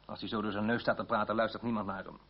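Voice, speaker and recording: A confident revolutionary politician, speaking with a husky edge and wry conversational ease. It sounds like an old radio play with a dull, narrow sound. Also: male voice, on the low side, little pitch movement, very fast. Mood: wry, persuasive. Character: busy French revolutionary deputy, wry and confident.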